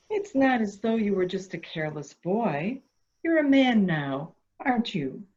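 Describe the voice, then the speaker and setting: An actress plays a calculating woman in an amateur mystery drama, coaxing and deliberate. Her pace is slow and her pitch swings widely. The recording is slightly dull and lo-fi. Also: sing-song, a little mellow. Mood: nervous, soothing. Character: calculating woman coaxing a dangerous childlike man. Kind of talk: amateur radio-play acting.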